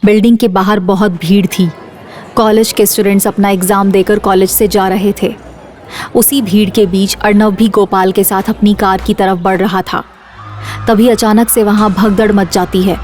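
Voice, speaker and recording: A woman's voice: mid-range voice, a little mellow. A woman reading scripted suspense narration for an audio drama series.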